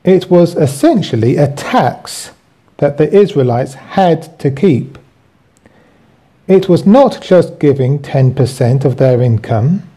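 A man reading his own written teaching aloud as host, in a deliberate, explanatory way. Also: fairly high pitch, lively intonation, dark tone, husky.

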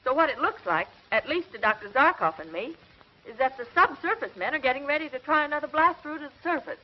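Earnest high-voiced 1950s space heroine. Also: female voice, expressive, quick pace. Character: earnest, alert young woman scientist in space adventure. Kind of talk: 1950s TV serial acting. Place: studio laboratory set. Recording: dull, muffled 1950s TV soundtrack.

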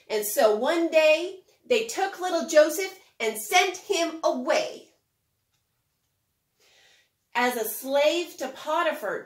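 High-voiced woman teaching little children. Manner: simple, child-directed, expressive phrasing. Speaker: preschool Bible teacher. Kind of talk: children's lesson and storybook read-aloud. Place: home kitchen, indoors. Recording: roomy kitchen echo.

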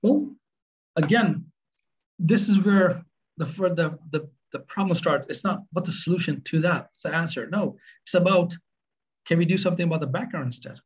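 A middle-aged man with a Swedish accent, presenting on a webinar in earnest, halting speech. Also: fairly high pitch, slightly rough, muffled recording. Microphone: webcam on video call.

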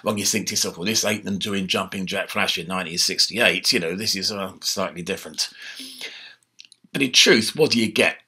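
An older man giving a casual, animated history lecture from his home study, speaking into a laptop webcam. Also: low voice, a little fast, husky. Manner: animated, conversational, gesturing with his hands. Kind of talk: informal online history talk. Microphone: laptop webcam mic.

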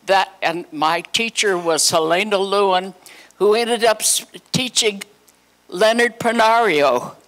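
An elderly woman reminisces as a guest speaker before a live audience. She talks slowly in a medium pitch, chatty and anecdotal, voicing the dialogue she quotes into a handheld microphone. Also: a bit bright. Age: 80s or older. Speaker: guest speaker, former child actress. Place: hotel meeting room, indoors.

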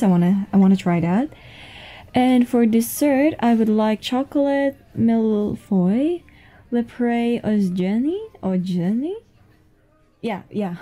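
A woman playing a flirtatious, easygoing businesswoman on a date, in a casual, intimate ASMR roleplay with a soft voice. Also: medium pitch, natural voice. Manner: unhurried, giggly, casual. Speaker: audio roleplay voice actor. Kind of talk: intimate ASMR roleplay acting, one-sided conversation. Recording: music behind the voice.

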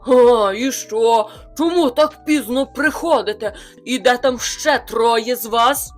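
A woman's voice: slow pace, faint music behind. A woman voicing a huge, furious male bear who roars threats in a storybook reading. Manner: roaring, booming threats.

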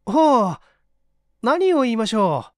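A man voicing an earnest young male assistant, courteous and brisk, in an audiobook story. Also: very high voice, big pitch swings, dry recording, clean studio sound, pitched above the performer's own voice, exaggerated voice. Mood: puzzled.

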